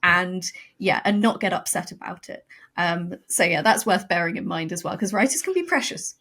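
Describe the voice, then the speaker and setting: A woman's voice: lowish voice, expressive, normal speed, a bit bright. A woman talking as a writer and podcast guest, reflective, thinking aloud and posing questions in a casual discussion.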